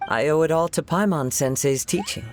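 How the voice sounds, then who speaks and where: A man playing a gentle, poetic young swordsman in a video game, speaking in a courteous, measured, formal way. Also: fairly high pitch, slight background noise, lightly acted. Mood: humble. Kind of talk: video game character acting. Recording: music behind.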